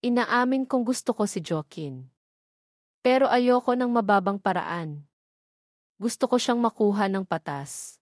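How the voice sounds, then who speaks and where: A woman's voice: mid-range voice, animated, a little slow, long pauses, dry recording, clean studio sound, lightly acted. A woman voicing a proud, rich young woman who talks in a commanding, frank way in an audio drama. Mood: firm.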